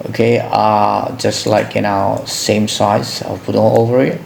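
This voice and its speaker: A man from Indonesia with an Indonesian accent narrates an informal software tutorial as host, in a hesitant, halting way, often repeating himself. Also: on the low side, level tone, vocal fry, a little hiss or hum.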